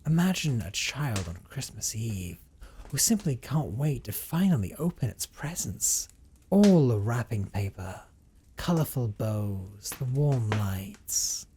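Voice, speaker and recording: A man voicing a centuries-old vampire captor in an intimate audio roleplay, speaking with hushed, theatrical condescension and often dropping toward a whisper. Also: mid-range voice, very animated, slow pace, slight background noise. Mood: teasing, savoring. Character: centuries-old male vampire captor, teasing, dominant, wistful.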